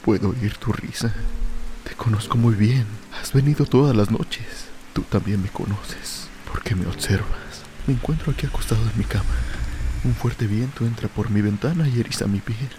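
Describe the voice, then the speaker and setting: An actor playing a lonely, tormented drunk sinking into fear and madness in a brooding, theatrical radio drama monologue. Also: male voice, on the low side, moderate pace, noisy background, lightly acted. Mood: eerie calm. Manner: brooding, theatrical first-person soliloquy.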